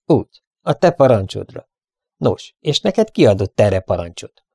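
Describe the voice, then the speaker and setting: A man's voice: on the low side, big pitch swings, vocal fry, dark tone, slightly rough, no echo, exaggerated voice. A man voicing a proud, defiant young cowboy deputy in a western story, with a challenging, declamatory delivery.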